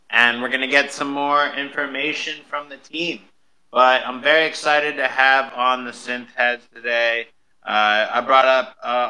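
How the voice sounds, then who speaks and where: A man with a very bright, forward voice hosts a live audio show. He talks in a chatty, enthusiastic way, welcoming and upbeat in his delivery. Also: medium pitch, poor audio. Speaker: host of an online audio show. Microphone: live social audio room call.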